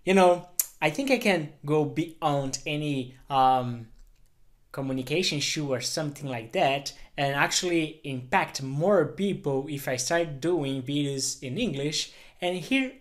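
A man's voice: a little high, measured pace. A man in his thirties from Brazil with a Brazilian Portuguese accent, earnestly talking to camera in his living room, with many fillers and restarts. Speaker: YouTuber. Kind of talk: casual talk to camera.

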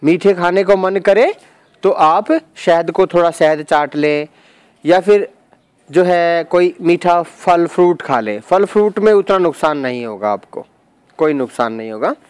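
Male tutorial presenter, high voice, emphatic and repetitive delivery, dry sound. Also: measured pace, darkish tone, clear, resonant tone, no echo. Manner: emphatic, repeats phrases for stress. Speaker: presenter of home remedy tutorial. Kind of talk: instructional voice-over explanation.

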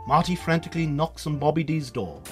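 A man's voice: fairly high pitch, animated, brisk, fairly bright tone, faint music behind. A man narrating a scripted radio drama in a theatrical storytelling manner.

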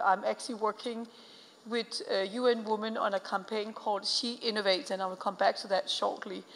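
A woman's voice: mid-range voice, fairly bright tone. A middle-aged woman from Denmark with a Danish accent, speaking earnestly on a conference panel, with frequent fillers and restarts. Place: large conference hall stage. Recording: long hall reverb.

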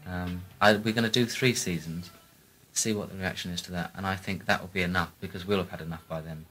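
A man's voice: deep voice, steady tone, a little fast, pushed and bright, rough recording. A man answering interview questions casually, as a producer discussing his work. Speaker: audio drama producer. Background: faint music.

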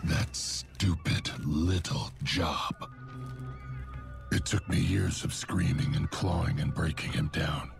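A menacing, gloating serial killer from a horror video game. The voice is extremely gravelly and dragged out slowly, dropping into sinister breathy whispers. Loud music plays behind the monologue. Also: male voice, rumbling low, fairly even intonation, heavily acted. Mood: bitter, menacing. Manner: slow, savoring, menacing delivery. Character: sadistic adult male serial killer clown, gloating, menacing.

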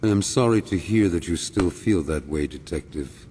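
An actor playing a grandiose, ancient villain mastermind in a video game, speaking in pompous, measured, formal oratory. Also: male voice, low voice, animated, warm tone, a little hiss or hum. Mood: regretful, formal. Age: older.

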